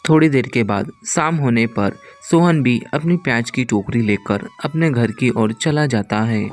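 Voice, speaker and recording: Mid-pitched male story narrator. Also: measured pace. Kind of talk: scripted children's story narration.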